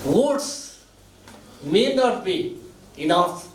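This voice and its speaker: Slow, moved man. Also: big pitch swings, echoey room, recorded from a distance, noisy background. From Nepal. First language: Nepal Bhasha. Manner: slow halting delivery in short phrases, deeply moved. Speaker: author giving thanks at a book launch. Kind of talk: emotional formal speech. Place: event hall.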